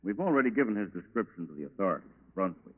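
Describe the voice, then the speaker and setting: An actor playing a terse, businesslike federal agent in a 1940s radio crime drama. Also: male voice, deep voice, sing-song, rapid-fire, husky, exaggerated voice. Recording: tinny, band-limited 1940s radio recording.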